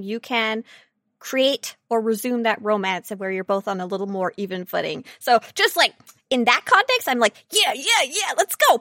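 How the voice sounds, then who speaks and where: High-voiced woman podcast co-host, silly and teasing. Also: pushed and bright, dry recording, clean studio sound. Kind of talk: casual chat about game characters.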